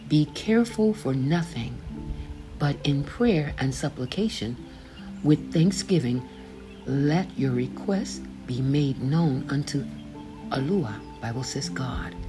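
Slow-reading older woman over music. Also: extremely low pitch, animated, rough recording. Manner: slow deliberate reading, glossing scripture names aloud. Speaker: author reading her own book. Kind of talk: audiobook reading of a faith memoir. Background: background music.

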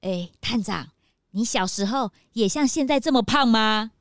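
A woman's voice: fairly high pitch, lively intonation, normal speed, no room sound, clean studio sound. A woman playing a curious, teasing young detective's sidekick in a scripted children's show skit, bubbly and childlike. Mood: teasing, excited.

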